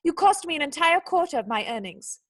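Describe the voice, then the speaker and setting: An actress playing a proud young noblewoman in a radio play, sharp-tongued, wounded and indignant, with cutting, articulate speech. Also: high voice, sing-song, fairly quick. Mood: scornful, indignant.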